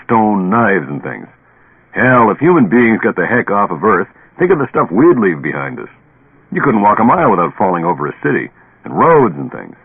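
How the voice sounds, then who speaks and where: An actor playing a skeptical, brash drinking buddy who is blunt, slangy and needling in a radio play. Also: male voice, lowish voice, very animated, normal speed, creaky, slightly rough, phone-quality sound, full character voice. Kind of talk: old radio drama acting. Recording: band-limited old radio recording, echoey.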